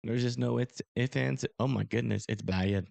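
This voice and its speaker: Hoarse man rambling over live radar. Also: medium pitch, normal speed, darkish tone, no room sound. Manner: casual, rambling, self-deprecating. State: hoarse, strained voice. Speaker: storm-chasing livestream host. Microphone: close desk microphone.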